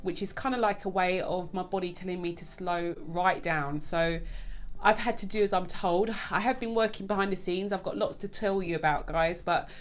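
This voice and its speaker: A woman's voice: lowish voice, normal speed. A woman hosting her own budgeting channel, talking casually and chattily to her viewers. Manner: chatty, keeps addressing viewers as "guys". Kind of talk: casual vlog chat.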